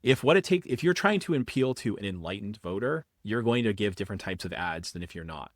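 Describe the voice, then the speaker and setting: A man from the Boston area talks fast as a podcast guest, tumbling through explanations and correcting himself. His voice sits a little low. The sound is dry and close. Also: no room sound. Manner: quick, animated explaining with repeated restarts. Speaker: guest, political philosophy professor. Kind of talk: casual podcast interview.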